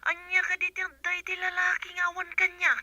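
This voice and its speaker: An actress with an Ilocano accent playing a pushy, lovestruck tomboy suitor in a radio comedy-drama, speaking insistently. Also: female voice, very high voice, monotone, a little fast, pushed and bright, no echo, exaggerated voice. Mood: pushy wooing.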